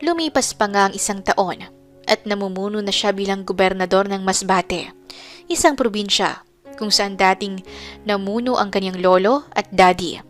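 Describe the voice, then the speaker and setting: Female storyteller over soft music. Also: medium pitch, normal speed. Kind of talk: scripted dramatic story narration.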